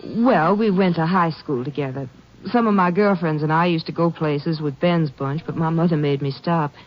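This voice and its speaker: A woman's voice: sits low, normal speed, lightly acted. A woman acting a young small-town telephone operator in an old radio drama, polite, precise and forthcoming, with an American accent. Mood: helpful. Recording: muffled vintage radio recording.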